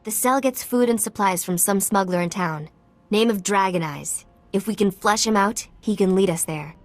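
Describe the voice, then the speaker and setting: An actress playing an earnest, resourceful informant in a video game, speaking in short, clipped sentences. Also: female voice, medium pitch, normal speed, faint music behind, close to an everyday voice. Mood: earnest, urgent.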